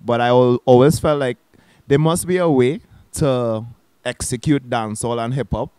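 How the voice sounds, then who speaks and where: A man's voice: medium pitch, moderate pace, clear, resonant tone, dry recording. Trinidadian man in a dry studio. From Trinidad and Tobago. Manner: relaxed, reflective, with restarts and local slang. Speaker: guest musician. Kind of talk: casual interview chat. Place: small lounge set with a bar. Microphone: handheld mic.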